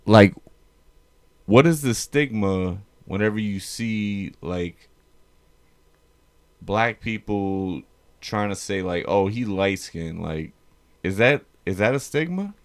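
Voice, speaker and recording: A man hosting a podcast, talking in a laid-back, halting way and asking questions. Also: lowish voice, expressive. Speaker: podcast host. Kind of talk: casual chat.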